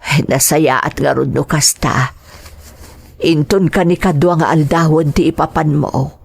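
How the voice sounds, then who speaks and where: A woman's voice: deep voice, unhurried, creaky, slightly rough, heavily acted. A woman acting a worried, loving middle-aged mother in an audio drama, with an Ilocano Filipino accent. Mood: resigned, sorrowful. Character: worried, loving mother of a daughter going abroad.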